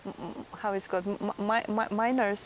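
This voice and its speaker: A woman whose first language is Russian hosts a podcast in English, speaking at a middle pitch and often stopping to hunt for the right word. Faint insect chirping runs quietly behind her. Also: fairly even intonation, normal speed, slight background noise, rough recording. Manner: hesitant, searches for words with many fillers. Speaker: podcast host. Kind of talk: conversational interview.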